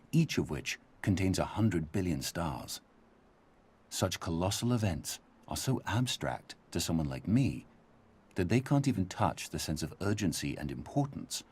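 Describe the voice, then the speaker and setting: A man reading scripted philosophical essays in a measured, emphatic, husky voice, as a thoughtful narrator. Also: sits low, warm tone, heavily acted. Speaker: voice actor reading quotations for game audio logs.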